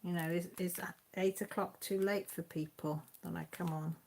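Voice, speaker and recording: Woman, Radnorshire Welsh accent, homely chatty livestream host, fairly low voice, laughs often. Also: fairly even intonation, normal speed, vocal fry, dark tone, a little hiss or hum. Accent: Mid Wales (Radnorshire). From Wales. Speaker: YouTube channel host. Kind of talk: casual live-stream chat, reading comments.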